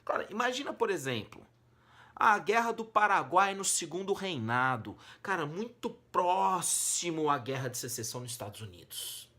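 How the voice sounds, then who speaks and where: A man's voice: sits high, big pitch swings, moderate pace. Brazilian man teaching with emphatic, colloquial delivery. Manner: emphatic, colloquial, rhetorical questions, swinging between stressed and hushed phrases. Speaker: humanities teacher and exam-prep host. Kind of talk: informal educational monologue to students.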